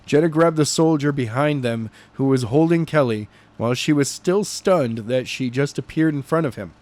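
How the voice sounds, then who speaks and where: A man narrating a novel chapter in an animated amateur audiobook style, stumbling and correcting himself as he reads. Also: medium pitch. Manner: halting, stumbling read with self-corrections.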